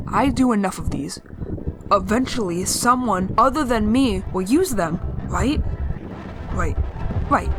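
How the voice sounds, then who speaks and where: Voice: medium pitch, background noise, natural voice. An actor playing a lonely, reflective survivor logging a monologue in an audio drama, musing and hesitantly self-correcting.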